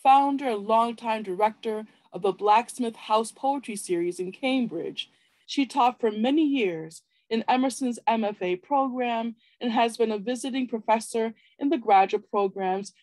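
A woman with a fairly high voice hosts a poetry open mic. She makes announcements and introduces guests in a polite, formal and measured manner. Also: a little mellow, poor audio.

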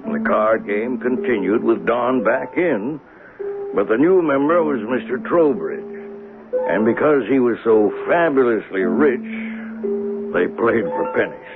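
An actor playing a folksy, observant train conductor in a 1970s radio mystery, musing and plain-spoken. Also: male voice, mid-range voice, lively intonation, measured pace, over music, close to an everyday voice. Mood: reflective. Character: folksy, observant commuter-train conductor telling a murder tale. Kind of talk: 1970s radio drama acting, narration and dialogue. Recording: noisy phone-like old radio broadcast.

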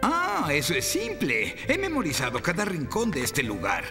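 A man's voice: on the high side, huge pitch swings, normal speed. A man voicing a lonely, eccentric old blind cave creature in a cartoon dub, courteous and chatty. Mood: matter-of-fact. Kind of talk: animated series dub. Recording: long reverb, music behind.

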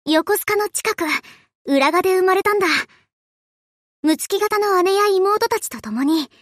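Very high, boyish anime ship girl. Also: lively intonation, unhurried, no echo, clean studio sound. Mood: cheerful. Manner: playful, giggly, casual tomboyish speech. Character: cheerful, boyish, affectionate young destroyer ship girl. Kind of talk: anime game character voice lines.

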